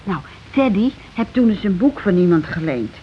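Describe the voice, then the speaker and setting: An actress playing a simple, meek working-class wife in a radio play, hesitant and stammering, repeating herself in unpolished everyday speech. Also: female voice, medium pitch, very animated, moderate pace, natural voice. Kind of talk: naturalistic radio play acting. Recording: dull, noisy old radio recording.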